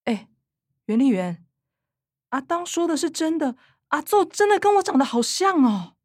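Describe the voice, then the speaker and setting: A young Taiwanese activist in a radio play, reluctant and indignant. Her high voice swings widely as she protests, with a whiny edge. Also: big pitch swings, normal speed, darkish tone, no echo, studio quality. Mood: incredulous. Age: young adult. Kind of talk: radio drama acting.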